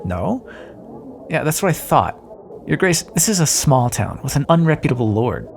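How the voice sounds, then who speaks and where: Man acting a wry, gravelly roguish thief. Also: a little high, a little hiss or hum. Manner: sardonic, teasing, conversational. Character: roguish male thief escorting a deposed queen, wry but decent. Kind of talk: audio roleplay acting, addressed to the listener.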